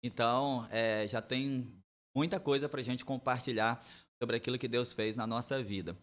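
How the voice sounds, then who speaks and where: A man's voice: mid-range voice, steady tone, normal speed. A pastor in his forties, from Bahia, telling his life story as a guest on a church podcast in an easygoing, storytelling way. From Bahia, Brazil. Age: 40s. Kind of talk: relaxed podcast interview, testimony.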